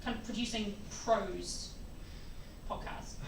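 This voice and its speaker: A woman's voice: medium pitch, steady tone, slow, vocal fry. A woman moderating an informal panel discussion and putting questions to the panelists. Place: convention panel room with room noise. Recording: echoey room, distant mic, faint noise.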